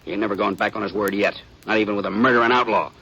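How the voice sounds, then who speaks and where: An actor playing a weary, blunt small-town deputy in an old-time radio western, with a folksy, sarcastic way of talking. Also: male voice, fairly low pitch, steady tone, very fast, bright, forceful tone, slightly rough. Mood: scornful, stern. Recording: noisy vintage radio recording.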